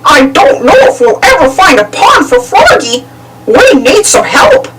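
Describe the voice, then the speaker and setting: A woman's voice: extremely high pitch, very animated, normal speed, pitched above the performer's own voice. A woman doing a clumsy, goofy cartoon dog. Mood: silly. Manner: goofy, fumbling. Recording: far-off mic, loud background noise.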